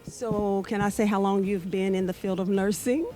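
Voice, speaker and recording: A woman's voice: mid-range voice, steady tone, moderate pace, dark tone, soft background music. A woman hosting a local TV talk show, interviewing guests in an upbeat, enthusiastic manner. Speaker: TV show host. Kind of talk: friendly interviewing.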